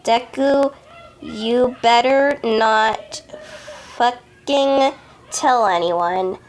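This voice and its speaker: Very slow, halting amateur woman reader. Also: a little high, slight background noise. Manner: halting, stumbling over words, self-correcting. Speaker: amateur fan fiction reader. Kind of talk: halting read-aloud narration of a story.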